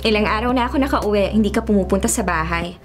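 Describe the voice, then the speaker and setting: An actress plays a young woman with a Filipino accent, the mischievous and affectionate daughter in a radio drama. She speaks at a medium pitch in a teasing, forthright way. Music plays clearly behind her. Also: quick pace, close to an everyday voice. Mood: teasing, tender.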